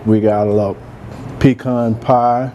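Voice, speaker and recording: A soft-spoken man, chatting relaxed as he hosts a cooking demonstration in a large room. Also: medium pitch, measured pace, dull audio, slight background noise. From Georgia, US. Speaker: cooking show host, chef. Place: large room with a live audience.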